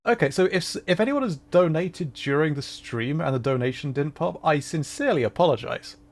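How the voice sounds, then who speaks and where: A man's voice: fairly high pitch, animated, a little fast. Casual man chatting on a livestream. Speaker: livestreamer, VTuber. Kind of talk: casual livestream chat while playing a game.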